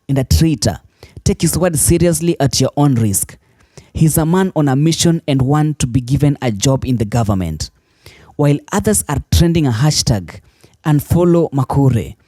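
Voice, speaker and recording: Mellow Kenyan man narrating news. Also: medium pitch, expressive, moderate pace, dry recording. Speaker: online news commentator. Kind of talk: scripted narration. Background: faint music.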